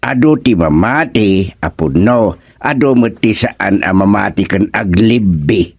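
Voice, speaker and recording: A man's voice: lowish voice, animated, measured pace, vocal fry, husky, heavily acted. An actor playing an older, hesitant but curious village man in a comic radio serial, drawling and repeating words in an Ilocano accent. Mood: musing. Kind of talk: comedic radio serial dialogue.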